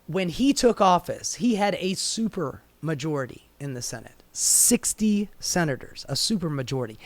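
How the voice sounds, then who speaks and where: A man's voice: on the high side, no echo. An American man giving casual, opinionated news commentary in a sardonic, exasperated, sweary manner. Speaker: political commentator.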